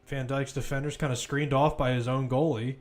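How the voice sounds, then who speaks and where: A man's voice: medium pitch, fairly even intonation, fairly quick, a little mellow. A man commentating live on a football match, mixing play-by-play calls with informal, conversational analysis.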